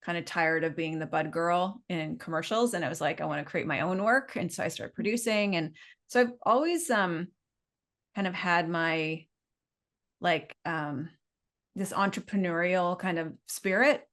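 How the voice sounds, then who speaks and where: A woman's voice: lowish voice, moderate pace, fairly bright tone. A middle-aged woman with a Canadian accent, telling her story in a chatty, meandering, informal way as an interview guest. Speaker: business owner being interviewed.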